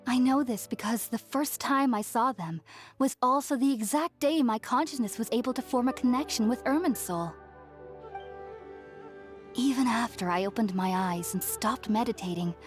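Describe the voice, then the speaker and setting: An actress plays a young woman scholar in a video game, with a fairly high voice. She sounds gentle and earnest, telling things in a measured, polite manner. Soft music sits faintly behind her. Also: close to an everyday voice.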